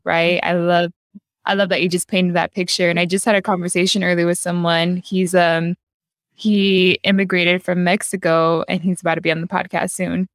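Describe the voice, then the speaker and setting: A woman's voice: fairly low pitch, clear, resonant tone, no room sound, studio quality. A young adult woman hosting a casual podcast conversation, chatty and affirming, talking with enthusiasm. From United States. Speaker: podcast host.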